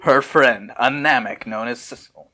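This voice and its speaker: Bright, forceful man reading a story. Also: medium pitch, a little slow. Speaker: story narrator and host. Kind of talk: amateur story narration with joking asides.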